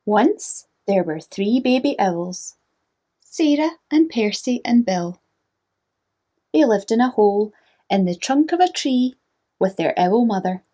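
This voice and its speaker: A woman narrating a children's storybook in a gentle manner, inviting young listeners to join in. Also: mid-range voice, lively intonation, takes it slowly.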